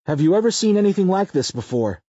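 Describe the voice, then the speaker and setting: A man's voice: mid-range voice, expressive, fast, husky, no room sound, voice pushed up. A male narrator voicing a worried father looking for his son in an audiobook. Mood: anxious. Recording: muffled lo-fi audio.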